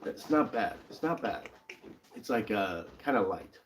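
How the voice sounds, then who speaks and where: A man's voice: on the low side, expressive, measured pace, a little hiss or hum. A young man co-hosting a casual comic-book live stream in a laid-back, joking way. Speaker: co-host.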